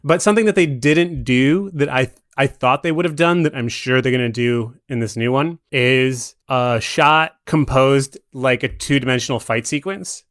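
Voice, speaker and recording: A man with a mid-pitched voice gives casual, conversational commentary on movies for a podcast. The sound is dry, with very little room echo around his words. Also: normal speed, hardly any pauses, a bit bright.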